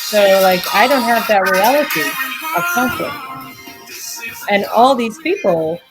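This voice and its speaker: A woman from Israel hosting an interview podcast, chatty and animated, laughing easily. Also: on the high side, measured pace, loud music behind the voice, poor audio. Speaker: podcast host. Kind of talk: casual interview chat.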